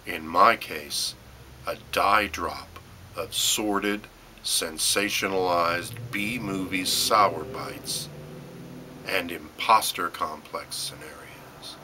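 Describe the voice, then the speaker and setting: A man reading his own poetry as dramatic spoken word, in deliberate short phrases with pauses and emphatic stresses. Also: low-pitched, noisy background. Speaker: poet reading own work.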